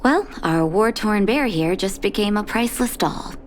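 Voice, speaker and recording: An actress playing a weary, caring carer of children in a video game, measured and gently scolding, exasperated yet fond. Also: female voice, mid-range voice, expressive, slight background noise, lightly acted. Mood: puzzled, curious. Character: weary, caring adult woman, doctor and orphanage carer. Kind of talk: video game character acting.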